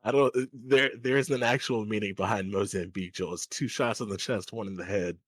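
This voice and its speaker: A man's voice: fairly low pitch, steady tone, brisk, fairly bright tone, dry recording. A young man co-hosting a podcast in casual chat, laid-back and teasing, swearing freely. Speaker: podcast co-host.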